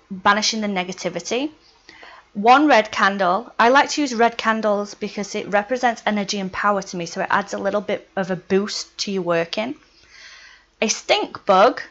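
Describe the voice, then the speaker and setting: A woman's voice: mid-range voice, fairly bright tone. Chatty Yorkshire woman, slightly dull sound. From Yorkshire, England. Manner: chatty, laughs between points, often says "right" and "um". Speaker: witchcraft vlogger. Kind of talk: casual how-to vlog.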